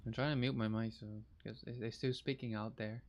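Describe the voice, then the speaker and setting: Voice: mid-range voice, steady tone, fairly quick, highs cut off. A game streamer giving casual live commentary while playing.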